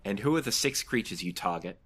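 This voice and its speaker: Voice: sits low, expressive, fairly quick, a bit bright, husky. A game master running a tabletop role-playing game, narrating scenes and calling for rolls in a conversational way.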